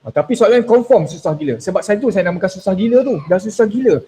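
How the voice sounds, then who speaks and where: A man's voice: sits high, expressive, fast, warm tone. A man leading an online exam-technique workshop over a video call, playful and teasing, slipping English words into his talk. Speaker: workshop speaker.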